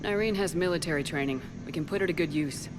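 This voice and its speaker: An actress playing a steady, no-nonsense female military commander in a sci-fi video game, speaking directly. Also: low-pitched, quick pace, over music, lightly acted, rough recording. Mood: matter-of-fact.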